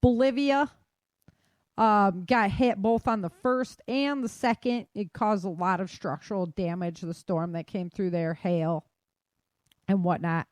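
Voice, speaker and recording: A woman's voice: mid-range voice, a little slow, a little mellow, no echo. Chatty informal woman podcast host, dry sound. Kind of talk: casual news roundup of world weather and disasters.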